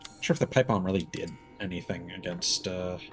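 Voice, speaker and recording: A man's voice: low-pitched, fairly quick, faint music behind. A man doing casual live gameplay commentary, muttering to himself in short reactions over game sound. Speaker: video game streamer.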